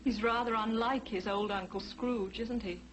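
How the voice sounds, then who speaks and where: An actress playing a poor clerk's loving but tart wife in a 1940s television drama, with emphatic delivery. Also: female voice, a little high, steady tone, moderate pace, close to an everyday voice. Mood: curious. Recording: faintly noisy old 1940s TV soundtrack.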